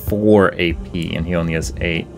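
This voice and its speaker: A man's voice: sits low, steady tone, normal speed, vocal fry, faint music behind. A man giving casual video game playthrough commentary, reading out details and thinking aloud in an understated, musing way. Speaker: gaming video host.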